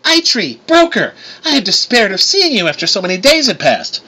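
A man's voice: fairly low pitch, fast talker, bright, forceful tone, husky. A man voicing a gracious, outspoken woman musician who speaks in an articulate, emphatic way. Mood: delighted.

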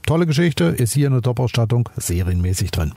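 A man's voice: mid-range voice, sing-song, fairly quick, warm tone, no echo. A man with a German accent hosting a car podcast, chatting enthusiastically and speaking straight to the listener. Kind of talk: casual car review monologue.

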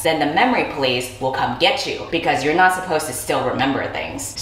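A woman's voice: sits low, a bit bright. A young woman chatting casually about books while cooking at home, in a blunt, irreverent, slangy way. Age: young adult. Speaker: book reviewer and vlogger. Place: indoor home kitchen. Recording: roomy echo, clear background noise.

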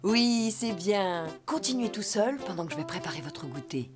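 Woman as an animated, kindly mother donkey. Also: mid-range voice, normal speed, close to an everyday voice. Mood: encouraging. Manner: gentle, encouraging. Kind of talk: children's cartoon voice acting. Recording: very loud music behind.

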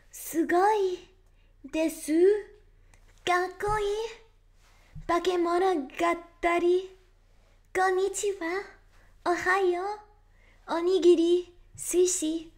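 A young woman putting on a cute, high anime-girl voice, hesitant and giggly, with many fillers. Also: takes it slowly, long pauses. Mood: sugary. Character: cutesy high-pitched anime-style girl voice. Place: quiet room at night.

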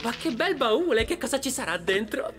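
A woman voicing a cocky, excitable young boy creature in a cartoon, with a dramatic, exclamatory way of talking. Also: fairly high pitch, normal speed, very noisy. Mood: puzzled. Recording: loud cartoon music behind the voice.

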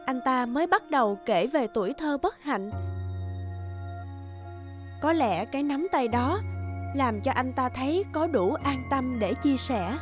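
A woman narrating a cartoon moral tale in a measured, earnest storybook manner. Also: high-pitched.

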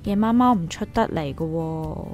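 A woman's voice: low-pitched, moderate pace, a little mellow. A hesitant young woman. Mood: doubtful. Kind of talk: Cantonese radio drama acting.

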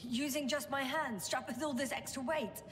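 An actress playing a composed, wry woman scientist exploring a planet in a video game, speaking in a measured, dry way. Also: high-pitched, a little hiss or hum, lightly acted. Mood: strained, exasperated.

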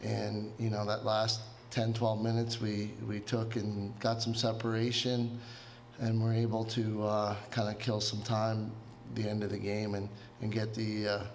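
Middle-aged coach at a press mic. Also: male voice, on the low side, monotone, a little hiss or hum. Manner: measured, hesitant answers with many fillers. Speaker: head coach. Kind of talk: post-game press conference. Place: press room before a sponsor backdrop. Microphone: table microphone.

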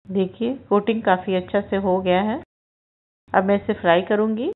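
Calm woman narrating a cooking demo. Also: mid-range voice, moderate pace, narrow, tinny audio. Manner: calm, instructive, narrates each action as she does it. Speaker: cooking channel host. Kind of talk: step-by-step cooking voice-over.

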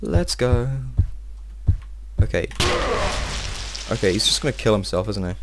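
Brief: young gamer, lively swooping voice, lowish pitch, swears a lot, keyboard clicks and hiss behind. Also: male voice, unhurried, creaky. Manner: excitable, swears often, talks to himself while playing. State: slightly strained voice. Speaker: gameplay video host. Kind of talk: casual let's-play commentary.

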